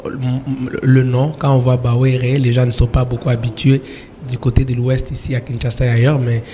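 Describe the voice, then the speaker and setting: A man from eastern DR Congo, a gospel singer, answering interview questions calmly with hesitant restarts. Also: medium pitch, normal speed, crowd noise. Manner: calm, hesitant with repeated restarts.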